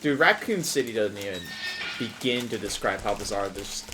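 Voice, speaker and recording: A man playing the game on a casual stream, excitable and cursing as he goes. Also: lowish voice, moderate pace, music in the background. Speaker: player. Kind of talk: casual gaming chat.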